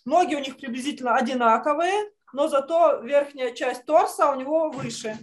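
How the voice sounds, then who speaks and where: High-voiced woman teaching children to draw. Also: normal speed. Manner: encouraging, uses affectionate diminutives. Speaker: art teacher. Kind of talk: online drawing lesson for children. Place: indoor room, on a video call.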